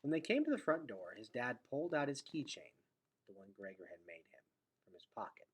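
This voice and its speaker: A man reads a children's novel aloud like a teacher to a class. His voice is husky and middling in pitch, with big melodic swings. He shapes phrases dramatically, often letting them sink into a hush. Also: big pitch swings, fairly quick. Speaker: teacher reading aloud to students. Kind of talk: expressive storybook read-aloud.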